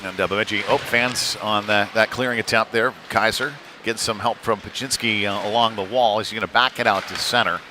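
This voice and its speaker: A man's voice: lowish voice, fairly even intonation, brisk, few pauses, bright, forceful tone. A man giving casual, unpolished live play-by-play commentary on a youth hockey game, his delivery rising with the action. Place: cold ice rink during a live stream. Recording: clear venue noise behind the voice.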